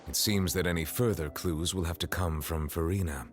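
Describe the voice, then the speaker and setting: An actor playing a grave, formal male judge in video game dialogue, speaking in a measured, solemn way. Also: deep voice, steady tone, faint music behind, natural voice.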